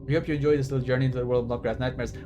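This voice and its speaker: A man's voice: medium pitch, fairly even intonation, very fast, dark tone, dull audio, slight background noise. A man streaming a game, giving casual live commentary in a rambling, repetitive way. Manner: rambling, repetitive, sweary reactions. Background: game music.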